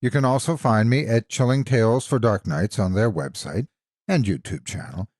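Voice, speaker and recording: A man narrating scripted stories as a horror narrator, deliberate and dramatic in his delivery. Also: fairly low pitch, a little mellow. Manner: deliberate, suspenseful storytelling.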